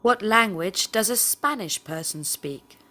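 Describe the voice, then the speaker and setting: A woman's voice: medium pitch, animated, measured pace, bright, forceful tone. A woman in the role of a language teacher, reading scripted questions with careful phrasing. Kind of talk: scripted question drill, read aloud.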